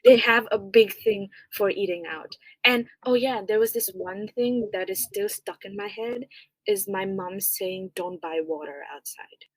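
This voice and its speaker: A young woman guest speaks in a relaxed, casual way, often using "like" as a filler. Her voice sounds dry and close, with no room echo. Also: medium pitch, measured pace, creaky. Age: young adult. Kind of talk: casual chat.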